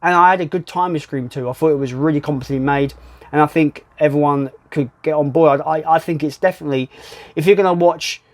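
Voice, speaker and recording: A man's voice: on the high side, fast. A man reviewing films casually, blunt and sweary, repeating himself for emphasis. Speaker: film reviewer, video host. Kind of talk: casual film ranking commentary.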